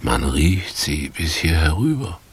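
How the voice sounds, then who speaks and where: An actor playing a brooding, theatrical homeless former stage actor in a radio play, speaking solemnly and deliberately. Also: male voice, very deep voice, very animated, husky, exaggerated voice. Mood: melancholy. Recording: 1980s radio drama with faint noise.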